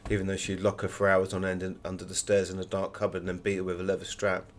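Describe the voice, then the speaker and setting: A man's voice: low-pitched, completely flat delivery, fast. A man speaking as a guest author in an interview, explaining things in a discursive, anecdotal way. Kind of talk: conversational interview, telling anecdotes.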